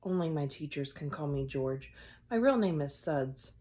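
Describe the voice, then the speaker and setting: A woman teacher reading a children's chapter book aloud, with expressive storybook delivery. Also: low-pitched, moderate pace.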